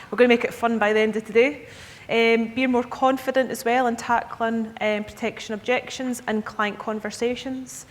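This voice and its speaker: A woman in her thirties with a Scottish accent gives a talk at a conference, chatty and relaxed, regularly checking in with the room. Her voice sits at a middle pitch and carries a long reverb, with a faint low rumble underneath. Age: 30s. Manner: chatty, checks in with the audience with "okay?". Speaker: key account manager presenting to advisers. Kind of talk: conference presentation. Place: conference hall. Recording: echoey hall with faint rumble.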